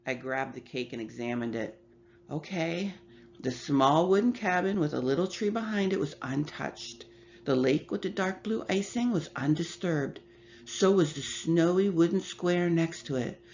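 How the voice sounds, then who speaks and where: Woman reading a creepy story, measured and hushed, bit muffled w/ faint hum. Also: low voice, lively intonation. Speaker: horror story reader. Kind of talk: first-person short story reading.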